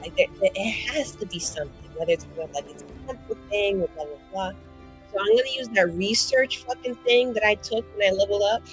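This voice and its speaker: A woman's voice: mid-range voice, fast, low-quality recording. A young woman playing a tabletop game, chatting casually in a theatrical, sweary, mischievous manner. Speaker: player. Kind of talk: casual, excited game chat. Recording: faint music behind. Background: soft background music.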